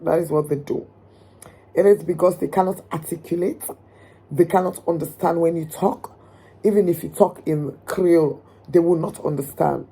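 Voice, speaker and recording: A woman from Sierra Leone with a hoarse voice, speaking earnestly and emphatically about politics, with frequent ums. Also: fairly low pitch, normal speed, mellow, low-quality recording. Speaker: political commentator. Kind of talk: direct-to-camera opinion talk.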